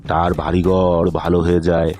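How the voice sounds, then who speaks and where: A man narrating a scripted fairy tale as storyteller, in measured, short dramatic phrases. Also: low-pitched, little pitch movement, a little mellow, soft background music.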